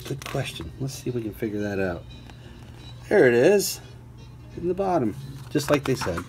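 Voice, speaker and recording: Casting: male storyteller, mid-pitched, deliberate children's read-aloud with chatty asides and playful questions, music underneath. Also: sing-song, darkish tone. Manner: clear, deliberate reading with playful questions to young listeners. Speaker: teacher reading a picture book aloud.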